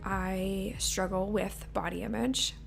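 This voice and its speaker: A woman in her twenties hosting her own podcast, talking in a casual, open way with a slightly raspy voice and lots of "like" fillers. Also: medium pitch, steady tone, slow pace, soft background music. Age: 20s. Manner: chatty and open, many "like" fillers. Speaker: podcast host. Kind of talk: casual solo podcast talk. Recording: faint hum and lawn mower behind.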